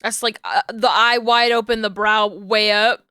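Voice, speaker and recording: A woman on a live stream thinking aloud as she explains her rigging steps to chat, her voice going hoarse. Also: medium pitch, steady tone, measured pace, pushed and bright, no echo, clean studio sound. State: losing her voice. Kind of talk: casual live-stream talk while working.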